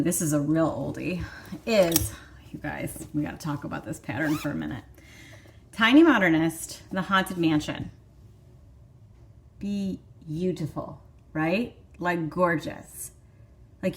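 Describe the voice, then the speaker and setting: Woman, Michigan accent, thirties, fairly low voice, rambling casual vlog chatter. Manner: chatty, rambling, many fillers. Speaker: vlog host. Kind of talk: casual chat.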